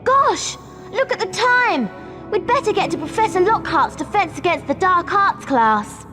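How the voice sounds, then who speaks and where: An actress playing a bossy, earnest young schoolgirl in a video game, prim and brisk. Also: very high-pitched, big pitch swings, music in the background, heavily acted.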